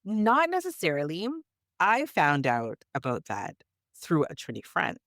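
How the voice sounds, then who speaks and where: A woman's voice: low-pitched, wildly swooping pitch, a little slow, a bit bright, no echo. Conversational woman, close dry sound. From Canada. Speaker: storyteller and podcast guest. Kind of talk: conversational podcast chat.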